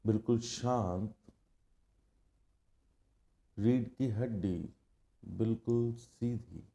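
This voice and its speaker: A man with an Indian accent leads a guided meditation as an instructor, speaking in a calm, hushed, measured way with long pauses. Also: on the low side, vocal fry.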